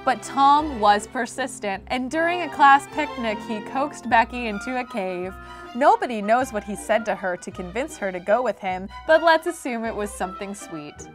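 A woman's voice: on the high side, expressive, normal speed, faint music behind. A woman in her twenties with an American accent, reading a comedic storybook narration in an irreverent, sardonic, sweary way. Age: 20s. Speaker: host reading stories.

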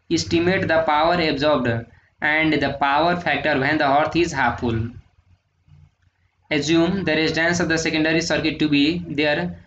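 Man talking through engineering problems step by step. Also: fairly high pitch. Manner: methodical, steady, frequent "uh" and "sir". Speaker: engineering lecturer. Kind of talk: solving numericals on handwritten notes. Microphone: voice-over on screen recording. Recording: echoey room, dull lo-fi sound, faint noise.

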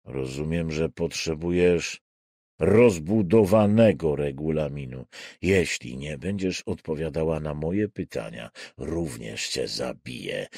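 A man voicing a coldly controlling, falsely soothing madman captor in a thriller audiobook, with slow, deliberate, hushed menace. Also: a bass voice, no room sound, clean studio sound, voice pushed down, exaggerated voice. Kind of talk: acted dialogue in a thriller audiobook.

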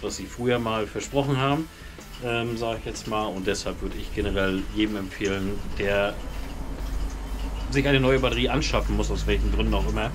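Man, 40s, casual vlog chat, lots of ums, garage, camera nearby, background music. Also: on the low side. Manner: chatty, many ums and restarts. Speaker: motorcycle vlogger. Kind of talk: casual product review talk. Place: home garage beside a motorbike, door open.

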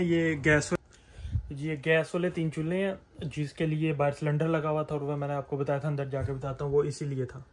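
A young man giving a casual, explanatory walk-through tour as a vlogger. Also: on the high side. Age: young adult. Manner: explanatory, talking while walking around. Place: outside by a camper, then inside its small interior. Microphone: phone at arm's length. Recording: handheld recording with clear background noise.

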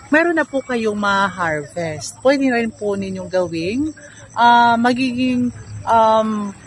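Chatty woman pitching farm land. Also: slight background noise, poor audio. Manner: chatty, upbeat, polite, persuasive. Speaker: real estate seller. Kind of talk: casual sales pitch. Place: outdoors on a rural dirt road among fields.

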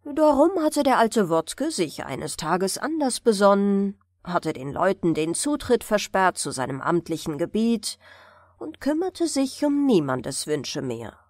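A woman reading fairy tales as an expressive bedtime audiobook narrator. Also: medium pitch, a little slow, no echo, clean studio sound.

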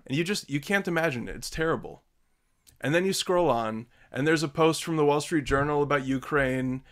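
Guy in his 30s hosting a video call from his study, measured and earnest, average pitch. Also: fairly bright tone. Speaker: host. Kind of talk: scripted opening remarks. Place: home study with bookshelves.

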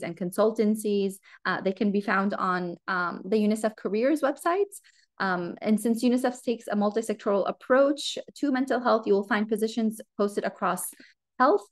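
A Lebanese American woman in her thirties answering seminar questions over a video call, earnest, with many ums and restarts. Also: medium pitch. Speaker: guest speaker. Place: home room.

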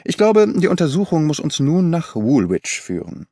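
A man voicing a keen, brooding detective in an audiobook, deliberate and murmuring as he thinks aloud. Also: fairly high pitch, big pitch swings, warm tone, no echo, clean studio sound, voice pushed up. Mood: keen, eager. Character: keen, brooding male detective, intense and impatient. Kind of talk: audiobook character reading.

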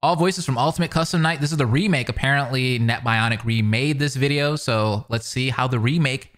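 A young man, giving casual, excited, slangy reaction commentary close on a studio mic. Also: medium pitch, fairly quick. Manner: animated, slangy. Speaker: reaction video host. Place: small room at a gaming setup. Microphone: close boom-arm studio microphone.

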